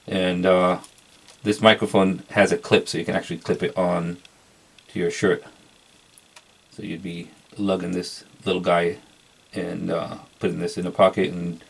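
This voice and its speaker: Low-pitched, monotone man reviewing gear. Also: gravelly, poor audio. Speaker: product reviewer. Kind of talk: casual unboxing review.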